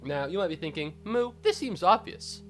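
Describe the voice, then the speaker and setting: Animated, congested young man streaming. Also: sits high, normal speed, soft background music. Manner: animated, rambling, excitable outbursts. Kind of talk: casual live-stream chat.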